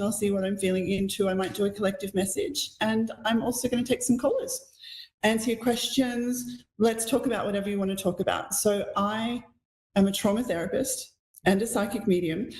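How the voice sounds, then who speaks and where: A croaky-voiced woman hosts a live psychic show, her talk gentle and flowing with spiritual phrasing. Her voice sits in the middle of the usual range for a woman. It rings around an echoey room. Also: mid-range voice. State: croaky from illness. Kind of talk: live psychic radio hosting.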